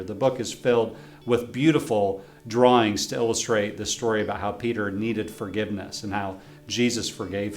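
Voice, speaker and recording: A man reading his own children's book aloud as a friendly storyteller, stopping to ask young listeners questions. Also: medium pitch. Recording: roomy echo with faint guitar music.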